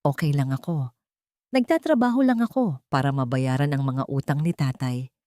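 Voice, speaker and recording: A woman's voice: very deep voice, moderate pace, mellow, no echo, clean studio sound, pitched below the performer's own voice. A woman voicing a poor, downtrodden young woman in an audio story, sad and timid in a subdued manner. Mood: sad, evasive.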